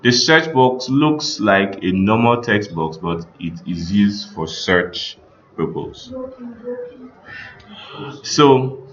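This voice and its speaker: A man giving a screen-share coding tutorial as a teacher, explaining step by step in a halting, instructional way. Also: lowish voice, lively intonation, fairly bright tone. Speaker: computer teacher. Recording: muffled with background noise.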